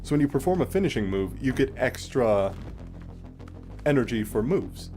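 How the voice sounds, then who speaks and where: A man's voice: mid-range voice, sing-song, moderate pace, dark tone. Man explaining a video game over music. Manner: explanatory, casually sweary. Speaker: let's play host. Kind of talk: casual game commentary.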